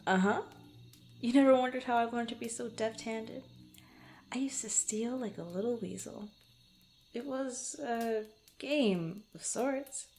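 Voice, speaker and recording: A woman playing a guarded, bantering young swordswoman in an intimate fantasy ASMR roleplay, speaking haltingly to the listener. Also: fairly high pitch, darkish tone. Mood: fond, reminiscing. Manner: flustered, bantering, halting, often sighing or chuckling. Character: guarded, teasing young adventurer swordswoman, former street thief.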